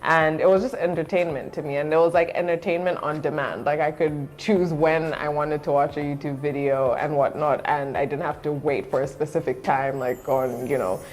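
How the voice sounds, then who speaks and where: A young woman from Zambia, a guest chatting casually in an interview, relaxed and laughing often, with many fillers. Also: sits low, normal speed, hardly any pauses, soft background music. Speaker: guest, content creator.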